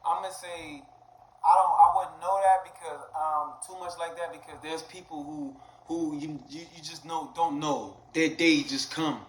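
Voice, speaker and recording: A man's voice: a little high, steady tone. Male American interview guest, informal and repetitive, stop-start delivery, roomy echo with faint noise. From Little Haiti, Miami, United States. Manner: halting, repetitive, peppered with "you know what I'm saying". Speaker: comedian being interviewed. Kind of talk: casual interview chat. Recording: echoey room with faint noise.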